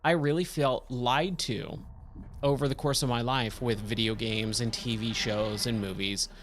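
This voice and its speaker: A man with a slightly hoarse, scratchy voice giving casual, rambling game commentary and thinking aloud as he plays. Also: mid-range voice, steady tone, measured pace, a bit bright. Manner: rambling, self-questioning. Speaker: game streamer, host of a let's play.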